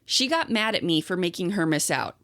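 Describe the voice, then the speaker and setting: A woman co-hosts a casual podcast, reading online stories aloud and chatting agreeably between them. Her voice sits on the low side but moves a lot in pitch. The sound is dry and close, with no room echo. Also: a little fast. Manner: chatty, agreeable, reads stories in a steady storytelling voice. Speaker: podcast co-host.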